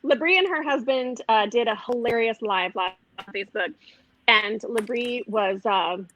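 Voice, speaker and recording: Chatty, high-voiced woman. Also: normal speed, fairly bright tone, dull audio, slight background noise. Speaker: comedy troupe member. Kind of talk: casual video chat.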